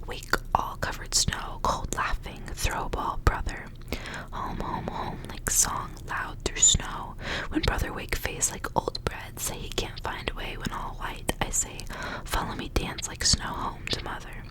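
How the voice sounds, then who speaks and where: A woman reading a story aloud for ASMR in a breathy, unvoiced whisper, soft and hushed, in short phrases. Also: a little slow, rough recording. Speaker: ASMR reader.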